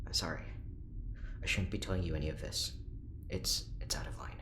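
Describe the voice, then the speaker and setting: A man playing an anxious, unravelling corporate scientist in an audio drama, earnest, brittle and halting. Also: a bass voice, fairly even intonation, roomy sound, background noise. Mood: despairing.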